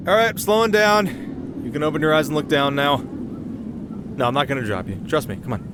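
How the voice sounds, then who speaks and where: A man's voice: fairly high pitch, moderate pace, lightly acted. A man playing a cocky, playful young winter spirit in an ASMR roleplay, talking casually with sarcastic, teasing banter. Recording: clear outdoor ambience noise behind voice.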